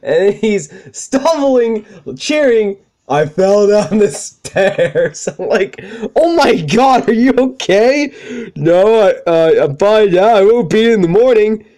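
A young man with a high-pitched voice, swearing freely as he tells rambling stories over gameplay. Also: lively intonation, takes it slowly, warm tone. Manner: profane, rambling, self-deprecating. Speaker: let's play commentator. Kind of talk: casual gameplay commentary with storytelling.

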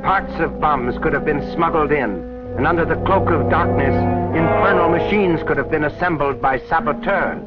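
A man's voice: a little high, normal speed. Solemn 1940s newsreel-style man, dull old soundtrack. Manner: solemn, declamatory delivery. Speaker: narrator. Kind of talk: scripted 1940s educational film narration. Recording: dull, band-limited 1940s film soundtrack. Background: orchestral film score music.

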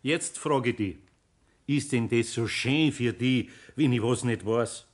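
A man's voice: medium pitch, lightly acted. Actor as homely Bavarian master craftsman. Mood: probing, stern. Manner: unhurried, homely, chuckling, gently admonishing. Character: kindly, sly older male master craftsman. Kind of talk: 1960s radio play acting.

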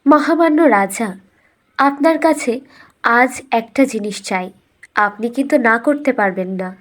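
Woman voicing a high-voiced, determined princess. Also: measured pace, close to an everyday voice. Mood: coaxing. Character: determined, clever young princess, politely coaxing. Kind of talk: storybook cartoon dialogue.

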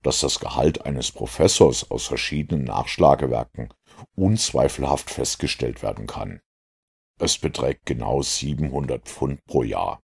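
A master detective in an audiobook, played by a male reader, with an extremely deep, gravelly voice. He lectures calmly and precisely, sure of himself and in no doubt of his conclusions. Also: full character voice. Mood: didactic. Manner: measured, didactic, self-assured. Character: composed, lecturing master detective, adult man.

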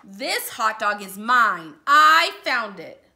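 Give the storybook a possessive, indignant pigeon, acted out by a woman. The voice is forceful and very bright, swinging widely in pitch. Every line comes out emphatic and theatrical. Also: very animated, takes it slowly, heavily acted. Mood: outraged. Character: loud, possessive, easily exasperated cartoon pigeon. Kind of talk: children's picture book read-aloud.

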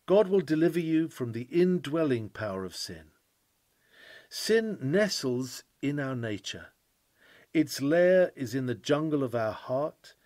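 A man reading a sermon aloud in an oratorical style, with earnest, emphatic, measured phrasing. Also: medium pitch, darkish tone, no room sound. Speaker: sermon reader.

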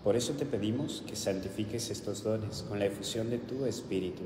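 Deep-voiced Argentine priest in echoey chapel. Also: male voice. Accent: Argentine Spanish. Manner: solemn liturgical recitation, slow and measured in prayers. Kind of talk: homily and celebrating Mass. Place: small chapel with altar, indoors. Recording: roomy hall echo with background hiss.

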